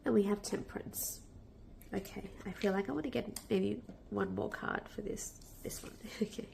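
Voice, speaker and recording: A woman with a cold, a bit nasal and croaky, giving a casual, reassuring tarot reading full of fillers and restarts. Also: medium pitch, moderate pace, a little mellow, slight background noise, low-quality recording. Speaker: tarot reader.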